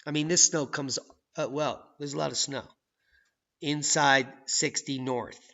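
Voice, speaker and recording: A man hosting a channel, reading news and weather maps with sarcastic, profane commentary that swings from low mutters to loud outbursts. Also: fairly even intonation, measured pace, vocal fry, highs cut off. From United States. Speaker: channel host.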